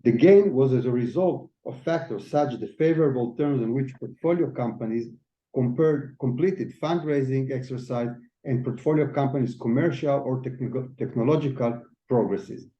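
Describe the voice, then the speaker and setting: A man's voice: medium pitch, normal speed, dark tone. Formal male finance presenter, echoey dull audio. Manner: formal, reading figures. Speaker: chief financial officer.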